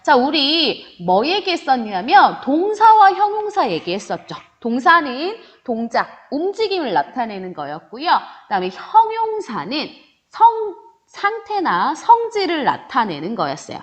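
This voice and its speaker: A woman teaching a grammar lesson in a lively way, answering imagined student questions and stretching out example words. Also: sits high, measured pace. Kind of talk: online grammar lecture.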